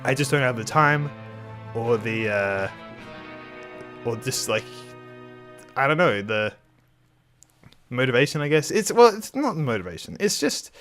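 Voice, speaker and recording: A man's voice: medium pitch, big pitch swings, unhurried, long pauses, fairly bright tone, faint music behind. A man hosting his art channel in casual talk over a speed drawing, a bit nasal and under the weather, rambling with many restarts. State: slightly sick.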